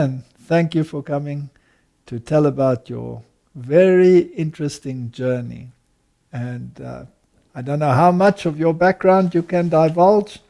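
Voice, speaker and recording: A man hosting a sit-down interview, formal and measured as he welcomes his guest. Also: fairly high pitch, creaky, mellow. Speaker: interview host.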